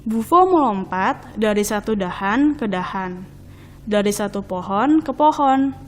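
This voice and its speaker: A woman reading a children's storybook as narrator, in expressive, deliberate, measured phrases. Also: medium pitch.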